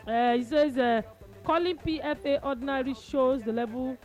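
A woman's voice: high-pitched, normal speed, soft background music. A woman with a Nigerian accent hosting a radio sports show, teasing her co-host and reading listener texts in pidgin-laced English.

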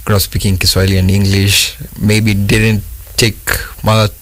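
A man from Kenya, a filmmaker guest answering interview questions in a measured, unhurried way. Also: lowish voice, level tone, fairly bright tone.

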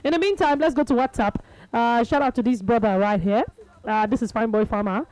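A woman's voice: fairly high pitch, fairly quick, darkish tone, dry recording, rough recording. A woman with a Nigerian accent hosting a sports radio show, chatting casually in English and Pidgin. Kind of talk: casual call-in chat mixing English and Pidgin.

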